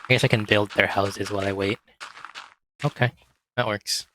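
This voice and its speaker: A man with a hoarse voice, quietly talking himself through a game as he plays, in a relaxed, slow, muttering way. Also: fairly low pitch, slight background noise, poor audio. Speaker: gaming video host. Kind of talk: casual gameplay commentary.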